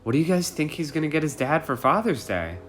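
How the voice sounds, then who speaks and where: A man reading a children's picture book aloud expressively, in an animated way for young listeners. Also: fairly high pitch, fast, faint music behind. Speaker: read-aloud storyteller.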